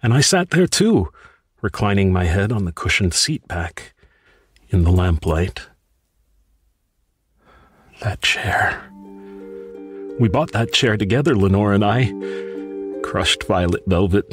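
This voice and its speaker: An actor playing a grief-stricken, tormented man haunted by a raven, in a theatrical, halting, impassioned radio horror monologue. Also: on the low side, expressive, faint music behind. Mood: brooding, mournful. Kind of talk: dramatic monologue, old-time radio horror acting.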